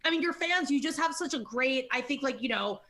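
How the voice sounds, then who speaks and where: A woman hosts a casual interview with a fairly high, very bright voice. She is chatty and informal, readily affirming what her guest says. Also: expressive, brisk. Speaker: interview show host.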